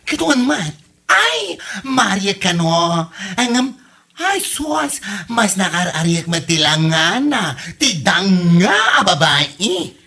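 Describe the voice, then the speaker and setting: An actor voicing a loud, nosy, flamboyant gossiping neighbour in an Ilocano comedy drama, with an Ilocano accent and theatrical, taunting exclamations. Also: mid-range voice, sing-song, slow, full character voice. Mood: teasing.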